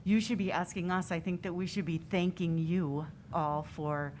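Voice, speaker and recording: A woman with a quite low voice speaks sincerely as a board member. A faint rumble runs underneath. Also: fairly even intonation, moderate pace, darkish tone. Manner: sincere, appreciative.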